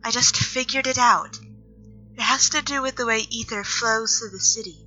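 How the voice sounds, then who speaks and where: A woman playing a reserved, uneasy young elf mage in an audio drama, speaking in an earnest, measured way. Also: fairly high pitch, fairly even intonation, bright, forceful tone, dull audio. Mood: defensive, earnest. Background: music.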